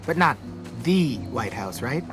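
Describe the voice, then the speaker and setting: A man's voice: mid-range voice, sing-song, slow pace. A man playing a wisecracking, dramatic teenage boy survivor in a video game, with an American accent and a playfully theatrical delivery. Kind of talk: video game voice acting, casual dialogue. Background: background music.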